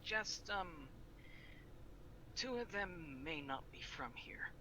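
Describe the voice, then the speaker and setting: A man's voice: high voice, lively intonation, slow pace. A man chatting casually in character during an improvised online role-play game. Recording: noisy online game voice chat.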